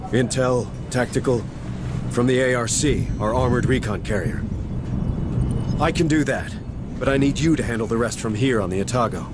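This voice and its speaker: A man's voice: medium pitch, normal speed, heavy background noise, close to an everyday voice. Man acting a blunt American Marine sergeant. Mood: commanding. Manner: blunt, commanding, folksy military talk. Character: gruff, stubborn male Marine sergeant in command. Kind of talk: video game voice acting.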